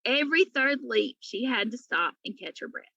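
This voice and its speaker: A woman's voice: fairly high pitch, animated, normal speed, creaky, pushed and bright, dry recording, muffled recording. A woman reading a children's story aloud as a teacher would for pupils. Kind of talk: storybook read-aloud narration.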